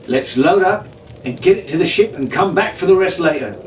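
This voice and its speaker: A man's voice: high voice, lively intonation, brisk, voice pushed up. Man playing a cocksure teenage pirate captain. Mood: decisive. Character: bold, scheming teenage pirate captain, boy. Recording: roomy, distant mic, faint background noise.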